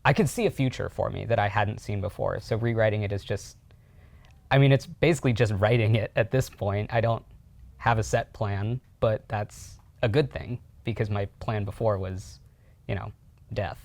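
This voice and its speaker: A man in his twenties speaks as a podcast guest in a lowish voice, recorded with a dry, close sound. He talks calmly and reflectively, in a plain, candid way. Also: normal speed, vocal fry, no echo. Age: 20s. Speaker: youth leader guest. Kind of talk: candid personal story.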